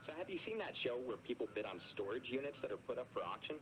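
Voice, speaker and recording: An actor playing a laid-back caller who rambles about buying storage units. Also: male voice, medium pitch, rapid-fire, husky, rough recording. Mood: rambling. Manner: rambling, casual, easygoing. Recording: steady background noise.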